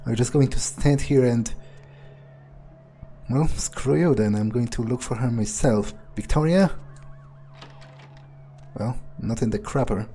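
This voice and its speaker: A man playing a jaded, impatient vampire in a video game, thinking aloud in a halting, profane, sardonic monologue. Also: medium pitch, dark tone, a little hiss or hum, close to an everyday voice. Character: jaded, foul-mouthed male vampire, contemptuous and impatient.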